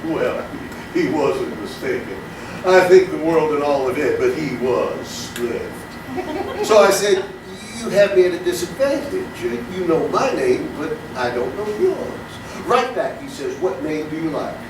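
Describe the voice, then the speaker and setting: Male actor as gentle eccentric gentleman barfly, slightly high voice, genteel stage storytelling, very reverberant distant pickup. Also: big pitch swings, a little slow. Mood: fond, amused. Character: gentle, courtly, dreamy male barfly with an imaginary rabbit friend. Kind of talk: theatrical comedy monologue. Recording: distant, very echoey, noisy stage recording.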